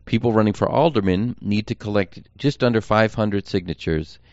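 Man, American accent, smooth clear voice, earnest explainer, candidate's podcast update, dry slightly dull recording. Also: lowish voice, normal speed, a little mellow, no room sound. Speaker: political candidate hosting a campaign podcast. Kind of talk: informal campaign update monologue.

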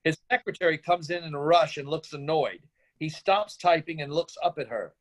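A man's voice: a little high, moderate pace, low-quality recording. A man narrating a screenplay, reading out stage directions as scripted narration.

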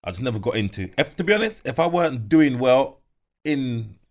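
A man's voice: medium pitch, expressive. A comedian guesting on a podcast, with a voice in the middle range for men. He chats easily and keeps agreeing with the others. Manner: agreeable, quick to say yeah and a hundred percent. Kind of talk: casual chat.

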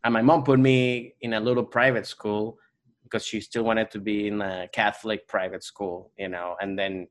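A man's voice: fairly low pitch, little pitch movement, normal speed. A Venezuelan-born man whose first language is Spanish, a comedian guest chatting on a podcast and rambling with many fillers and restarts. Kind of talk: casual podcast chat.

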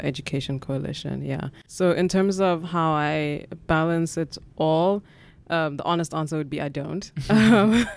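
A South African woman in her twenties, a podcast guest answering questions in a thoughtful, reflective way with frequent fillers. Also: low voice. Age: 20s. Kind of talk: conversational interview answers.

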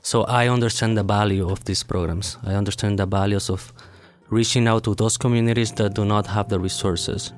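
Man, low flat voice, earnest. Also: fairly quick. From Puerto Rico. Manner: earnest, plain-spoken. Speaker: assistant dean. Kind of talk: interview answer.

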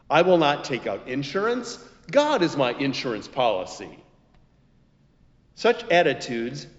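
A man preaches in a muffled recording with long hall reverberation. He takes his time, phrasing his prepared sermon emphatically and with very animated intonation. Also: on the high side. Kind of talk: scripted expository sermon with quotations.

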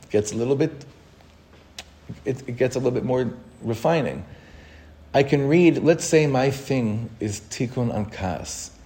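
Earnest rabbi teaching a class. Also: male voice, medium pitch, expressive, mellow. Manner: earnest, self-questioning, repeats phrases for emphasis; reads Hebrew text quickly, then explains it. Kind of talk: informal Torah talk.

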